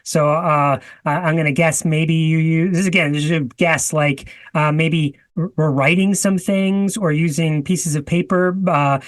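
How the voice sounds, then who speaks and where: A man's voice: fairly high pitch, fairly even intonation, normal speed, hardly any pauses, dry recording, studio quality. A man hosting a casual interview, chatty, with many restarts and easy laughter. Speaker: podcast host.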